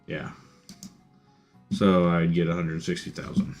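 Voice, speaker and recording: A young man talks to his stream viewers in an easygoing, rambling way, working things out aloud with plenty of fillers. His voice sits very low and he speaks slowly, with soft music faintly under him. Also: flat delivery. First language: English. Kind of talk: casual gaming stream chat. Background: faint music and keyboard typing.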